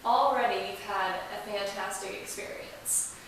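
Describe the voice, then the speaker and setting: A woman with a higher voice talks casually as an interview guest, stressing points with enthusiasm. The room is strongly reverberant and she is picked up from a distance, with faint hiss. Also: measured pace, a bit bright. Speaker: interview guest, museum representative. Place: indoors in an old museum building.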